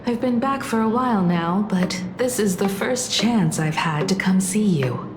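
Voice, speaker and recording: A woman's voice: mid-range voice, lively intonation, a little slow, background noise, natural voice. An actress playing a composed, gracious woman adventurer with polite manners in a video game. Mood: apologetic, grateful. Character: composed, gracious grown daughter, adventurer visiting old school. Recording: echoey, loud background music.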